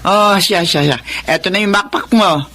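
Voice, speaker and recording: A woman's voice: mid-range voice, normal speed, sharp tone. A woman playing a caring, fussing grandmother in a Filipino radio drama. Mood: fussing, tender.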